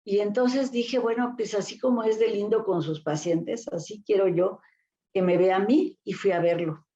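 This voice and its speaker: A woman's voice: mid-range voice, fairly even intonation, normal speed, warm tone, muffled recording. An older woman with a Mexican accent, a therapist telling stories from her career in a rambling way, full of fillers and restarts. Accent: Mexican Spanish. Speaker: guest therapist. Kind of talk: casual interview storytelling.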